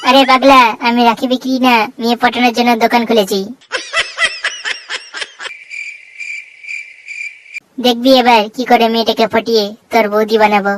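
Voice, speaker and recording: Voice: mid-range voice, flat delivery, quick pace, noisy background, lightly acted. A performer voicing a brash, cheeky young cartoon schemer who chases girls, with comic exaggerated delivery. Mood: cocky. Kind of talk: comic cartoon dialogue in Bengali.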